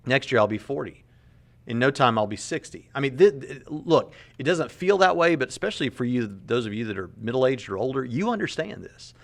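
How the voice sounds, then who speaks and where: A man's voice: mid-range voice, big pitch swings, fairly quick, gravelly. Earnest man in his thirties commenting. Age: 30s. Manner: earnest, conversational, repeats words. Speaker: commentator reacting to a podcast clip. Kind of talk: apologetics commentary.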